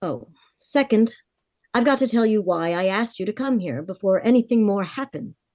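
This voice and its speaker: A woman acts out a frank, worried heiress in her twenties from a golden-age radio play. Her voice is warm and relaxed in tone, with a medium pitch and a very dry sound. She confides in an earnest storytelling way. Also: moderate pace, no echo, lightly acted. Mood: tense. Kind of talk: vintage radio drama acting.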